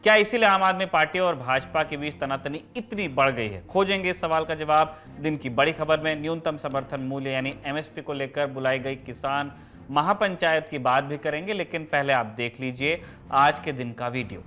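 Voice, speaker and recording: A man's voice: fairly high pitch, normal speed, bright, forceful tone. A man presenting scripted news as the host of a show.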